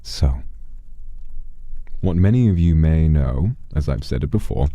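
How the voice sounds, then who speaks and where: A man telling a first-person story to a livestream audience in a theatrical, deliberate way, with dramatic pauses. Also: rumbling low, expressive, mellow, dry recording.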